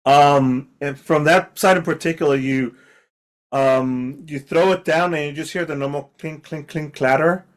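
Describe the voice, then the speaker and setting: A man narrating as game master for an online tabletop game, loose and halting with many fillers. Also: a little high, fairly even intonation.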